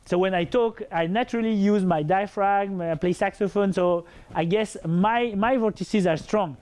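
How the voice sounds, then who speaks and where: A man's voice: high voice, normal speed, a little mellow, clean tone. A man with a French accent and a big, full voice gives an academic lecture. He speaks hesitantly, with many fillers and restarts. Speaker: researcher giving a lecture.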